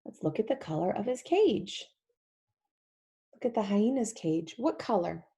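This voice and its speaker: A woman leading an interactive storybook read-along for young children, animated and emphatic, using simple repeated phrases and questions. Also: medium pitch, measured pace. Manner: animated, emphatic, often drops to a whisper.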